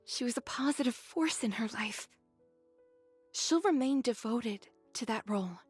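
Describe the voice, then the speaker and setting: Anxious, high-voiced young game heroine. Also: female voice, expressive, measured pace, breathy edge, no room sound, soft background music. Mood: earnest, explaining. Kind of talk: anime game voice acting.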